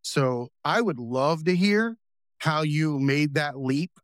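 A man hosting a podcast, talking casually and drawing out homey analogies, slowing down on the points he wants to stress. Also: a little high, no room sound, clean studio sound. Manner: folksy, uses homey analogies, slows for emphasis. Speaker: podcast host. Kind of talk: casual interview talk.

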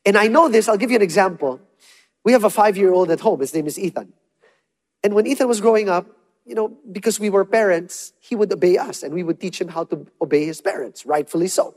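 A man preaching a sermon, emphatic and calling out to the congregation, swinging between punchy loud lines and hushed soft ones. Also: high-pitched. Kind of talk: sermon with call-and-response. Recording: long hall reverb.

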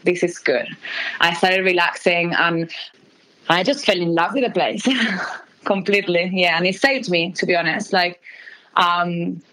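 Woman in her twenties, bright voice. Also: fairly low pitch, fairly even intonation, rough recording. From Argentina. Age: 20s. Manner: candid, rapid with fillers and restarts. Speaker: interviewee, migrant worker. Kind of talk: phone interview, personal story. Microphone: phone call.

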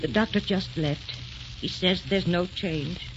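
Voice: mid-range voice, measured pace, over music, lightly acted. An actor playing an anxious, caring member of a household with a pleading, solicitous 1940s radio drama delivery. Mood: worried, gentle. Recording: muffled old 1940s radio recording.

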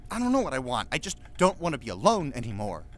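An actor playing a lost, self-pitying grown son who pleads emotionally and haltingly in an audio drama. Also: male voice, medium pitch, wildly swooping pitch. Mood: pleading, defensive.

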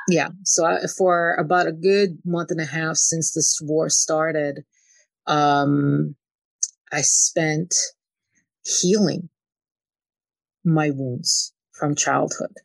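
A woman's voice: deep voice, fairly even intonation, slow pace, gravelly, no echo. A woman from Ukraine talking as a spiritual channeler and interview guest, often dropping in "you know" as she goes. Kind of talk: spiritual conversation.

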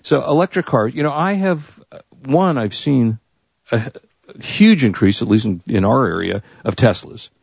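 A man's voice: medium pitch, expressive, normal speed, creaky, darkish tone, slightly rough, no echo, muffled recording. An American man co-hosting a radio show in casual banter, with a jokey, teasing manner.

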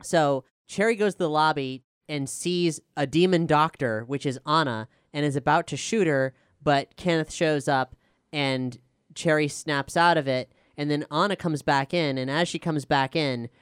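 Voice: medium pitch, moderate pace, clean tone, dry recording, studio quality. A podcast showrunner explaining things in an emphatic, casually sweary way during a behind-the-scenes discussion.

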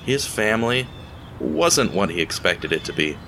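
A man narrating an audio drama in a deliberate, theatrical storytelling style, speaking straight to the listener. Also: on the low side, big pitch swings, creaky, bright, forceful tone, a little hiss or hum.

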